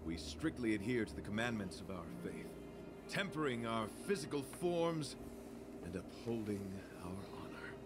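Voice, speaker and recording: An actor playing a courteous, earnest young knight devoted to beauty, speaking in formal, florid phrases for a video game. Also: male voice, mid-range voice, sing-song, normal speed, natural voice. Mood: courtly, earnest. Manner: formal, florid, chivalrous delivery. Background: game music.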